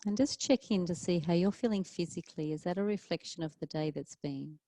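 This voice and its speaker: A woman teaching yoga leads a guided relaxation, calmly and gently, leaving long pauses between short phrases. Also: fairly low pitch, no echo. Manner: calm, soothing, gentle instruction with long pauses. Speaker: yoga teacher leading a class.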